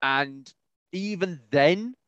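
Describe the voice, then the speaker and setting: A man's voice: on the high side, drawn-out words, fairly bright tone, smooth, no echo, lo-fi sound. A man chatting casually as a podcast co-host in a rambling, enthusiastic way. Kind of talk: casual chat.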